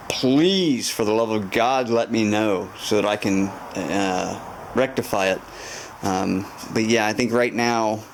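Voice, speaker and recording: A man in his garage talking through a motorcycle repair to camera, thinking aloud with lots of fillers and restarts. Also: on the low side. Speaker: motorcycle restoration vlogger. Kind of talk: casual garage walkthrough to camera. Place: home garage.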